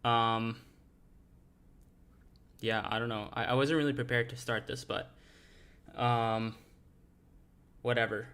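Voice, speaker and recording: A man's voice: mid-range voice, fairly even intonation, takes it slowly, long pauses, fairly bright tone, smooth. A man hosting a casual interview, asking hesitant questions full of fillers. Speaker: podcast host.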